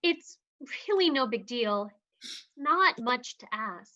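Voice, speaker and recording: A woman's voice: on the high side, expressive, slow, sharp tone, heavily acted. A woman voicing Dottie, an earnest and determined young woman, in a livestreamed script reading. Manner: earnest, firm. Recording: dull lo-fi sound.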